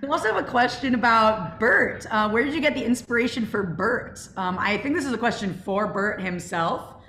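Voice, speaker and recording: A young woman hosts a casual Q&A over a video call. Her voice is fairly high and bright, and the room around her echoes clearly. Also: expressive, normal speed, a little hiss or hum. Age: young adult. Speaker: Q&A host of an audio drama club. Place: indoors on a group video call. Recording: roomy, echoing video call audio.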